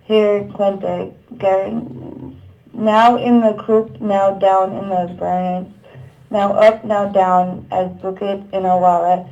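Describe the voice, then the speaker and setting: A woman narrating a puppet show, reciting old verse in a measured, declamatory manner. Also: medium pitch, dark tone, muffled recording.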